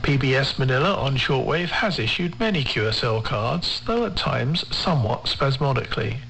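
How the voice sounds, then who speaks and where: A man reads a scripted radio feature in a measured, formal, carefully enunciated way, as a presenter on a shortwave broadcast. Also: medium pitch, expressive, creaky, fairly bright tone, poor audio.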